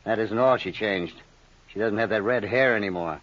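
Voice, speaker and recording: An American actor playing a sly small-time schemer in a 1950s radio crime drama, insinuating and full of false friendliness. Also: male voice, on the low side, moderate pace, fairly bright tone. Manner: smooth-talking, insinuating, mock-friendly. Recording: dull, muffled old radio recording.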